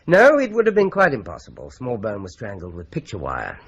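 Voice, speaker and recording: Shrewd police investigator, dry, measured, husky voice, lively pitch, lo-fi radio drama sound. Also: male voice, full character voice. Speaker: police detective investigating a murder. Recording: dull lo-fi radio recording.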